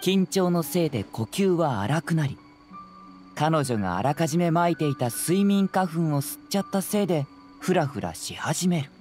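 A man voicing a youthful, confident detective in an anime game, speaking in a measured, analytical, explanatory way. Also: fairly high pitch, expressive, darkish tone, lightly acted. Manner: measured, explanatory, self-assured. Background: faint background music.

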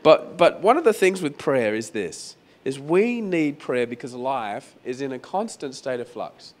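A man preaching a sermon as pastor in a croaky, hoarse voice, talking conversationally and repeating phrases for emphasis. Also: mid-range voice, sing-song, a little slow. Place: venue hall during a church service.

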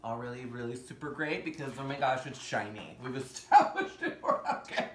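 A man hosting storytime in drag, reading a picture book aloud theatrically with dramatic gasps, drawn-out words and playful asides. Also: medium pitch. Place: indoor room with fabric backdrop. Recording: roomy echo, some background noise.

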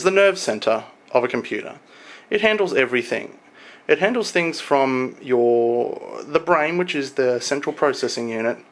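A young adult man talking informally to a camera in a home room, explaining things in a halting, casual showcase style. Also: medium pitch, fairly bright tone. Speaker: tech vlogger. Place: small home room, indoors.

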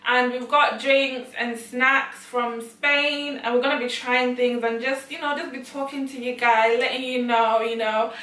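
A young woman from Ghana hosting a casual chat with friends, chatty and quick to laugh. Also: a little high, steady tone, bright, forceful tone. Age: young adult. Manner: giggly, chatty, often breaking into laughter. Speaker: channel host. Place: small room, friends on a sofa. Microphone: far camera. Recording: echoey room from a distance.

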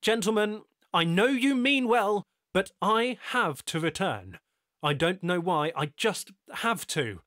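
A man's voice: high voice, sing-song, takes it slowly, a bit bright, no room sound, studio quality, voice pushed up, full character voice. A man voicing a homesick young American man, anxious and stubborn, pleading and rising to indignant outbursts. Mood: determined.

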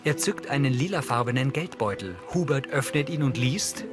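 A man narrates a TV series as audio description. His delivery is neutral and factual, at an average male pitch. Music is clearly audible beneath his voice. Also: lively intonation, moderate pace. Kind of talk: scripted scene narration, present tense.

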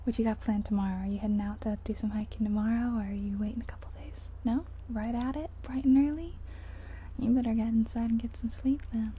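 Woman playing a very dark, whispery soft taxi driver. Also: on the high side, steady tone, quick pace, lightly acted. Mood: warm, grateful. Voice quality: soft, breathy, often whispered. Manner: gentle, hesitant, murmuring to herself and passenger. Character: friendly, chatty, sleepy-soft female city taxi driver. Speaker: ASMR roleplay performer. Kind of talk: soft-spoken ASMR roleplay. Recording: soft ambient car and rain noise.

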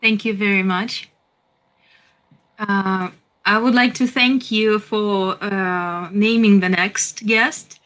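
A young woman co-hosting on a video call, thanking the guest in halting, friendly phrases. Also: medium pitch, steady tone. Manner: warm, halting, with small laughs. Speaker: co-host. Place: home office.

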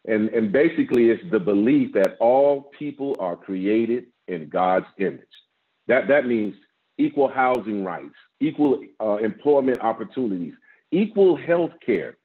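A man in his fifties, a guest pastor, preaching a measured sermon with a strong voice from an office over a video call, rising with emphasis and repeating words as he builds. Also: mid-range voice, lively intonation. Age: 50s. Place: office with framed certificates, indoors. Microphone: video call, laptop camera. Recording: tinny phone-like video-call audio.

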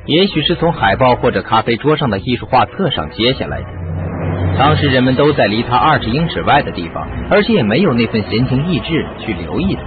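A man's voice: mid-range voice, sing-song, fairly quick, phone-quality sound, close to an everyday voice. A man acting in a radio drama as a shrewd, confident sleuth, explaining things in an assured way. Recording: band-limited audio with music.

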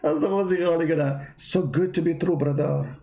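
A man's voice: on the high side, fairly even intonation, normal speed, creaky, echoey room, crowd noise. A man whose first language is not English, mocking a played clip in sarcastic commentary. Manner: sarcastic, mocking, repeats words. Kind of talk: mocking commentary over clips.

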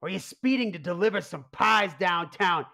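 A man's voice: high voice, moderate pace, gravelly, sharp tone, exaggerated voice. A man playing an anxious, exasperated mob boss and father in a comedic radio play. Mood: dramatic. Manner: exasperated, blustering. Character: harried, anxious mob boss father who secretly runs pizzeria.

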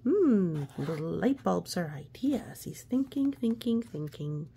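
A woman reads a picture book to young children, slightly low and mellow in tone. Her delivery is unhurried and expressive, often softening toward a hush, with little asides to the listener. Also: creaky, a little hiss or hum. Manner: expressive, hushed suspense, often dropping to a whisper. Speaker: storybook reader. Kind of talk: children's read-aloud with gentle asides.

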